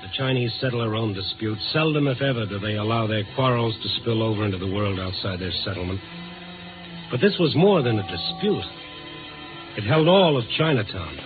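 An actor playing a tough, sardonic newspaper reporter, speaking tersely and wryly in a 1950s radio drama. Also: male voice, lowish voice, expressive, a little fast, close to an everyday voice. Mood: brooding narration. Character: tough, sardonic newspaper reporter narrating his night investigations. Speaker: lead actor and narrator. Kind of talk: 1950s radio drama acting, noir narration. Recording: tinny 1950s radio recording, music behind.

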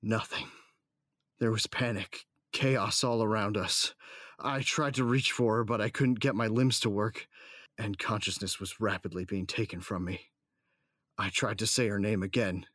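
Male actor low voice, devoted husband, sci-fi adventurer, first-person drama narration, dry recording. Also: fairly bright tone, no echo.

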